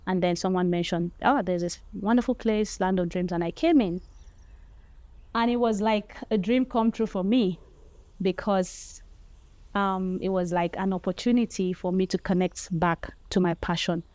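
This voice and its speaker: A woman's voice: medium pitch, steady tone, moderate pace, dark tone, dry recording. A woman speaking articulately and fluently in a documentary interview.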